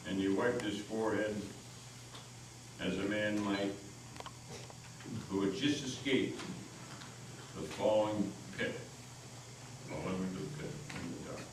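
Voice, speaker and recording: A man reads a story aloud as a theatrical narrator, taking his time over the phrases. He is recorded far from the microphone in a highly reverberant hall with clear noise. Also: expressive, slow, pauses often. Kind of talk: dramatic reading of a story. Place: meeting house hall. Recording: echoey hall from far off, noisy.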